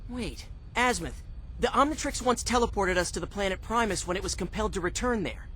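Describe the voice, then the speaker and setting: A man's voice: high-pitched, fairly quick, a bit bright, a little hiss or hum, rough recording. A man playing a cocky, sarcastic teenage boy superhero in a cartoon video game. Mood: determined. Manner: sarcastic, self-assured.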